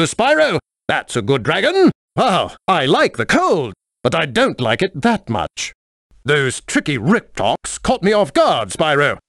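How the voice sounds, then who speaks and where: Jolly yeti, high-voiced. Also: male voice, fairly bright tone, dry recording, clean studio sound, exaggerated voice. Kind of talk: video game character dialogue.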